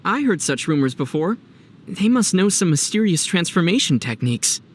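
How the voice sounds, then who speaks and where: Gentle young game adventurer. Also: male voice, sits high, sing-song, normal speed. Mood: calm, explanatory. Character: gentle young male adventurer, thoughtful, lightly teasing. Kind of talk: video game voice acting.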